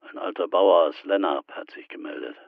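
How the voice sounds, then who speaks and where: An actor playing a matter-of-fact policeman reporting in a plain, low-key way in a radio crime drama, gravelly and husky. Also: normal speed, no room sound, phone-quality sound.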